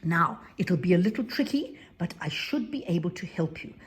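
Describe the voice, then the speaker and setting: A woman voicing a kind mountain fairy who speaks calmly and turns stern when giving commands. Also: on the low side, moderate pace, husky. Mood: gentle. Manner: calm, then stern commands.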